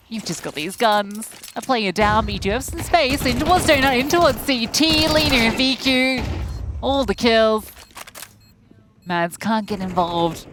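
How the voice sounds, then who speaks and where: A woman's voice: fairly high pitch, noisy background. A woman giving chatty, analytical color commentary on a live esports match. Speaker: esports caster.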